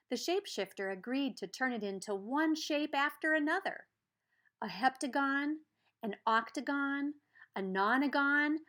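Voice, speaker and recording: Slow, animated woman reading a storybook. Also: on the high side. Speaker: storybook reader. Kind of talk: expressive children's read-aloud narration.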